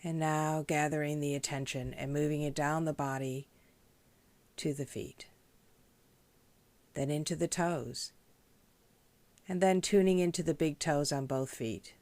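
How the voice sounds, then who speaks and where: A woman leading a guided meditation as a teacher, speaking in a gentle, measured, instructional way. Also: low-pitched, steady tone, no echo. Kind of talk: guided body scan meditation.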